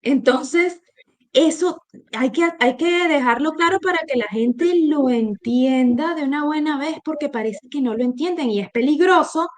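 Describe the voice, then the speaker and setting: A woman's voice: sits high, moderate pace. A woman from Venezuela with a Venezuelan accent, co-hosting a political discussion in an emphatic, opinionated way, often restarting her phrases. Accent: Venezuelan Spanish. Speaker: co-host.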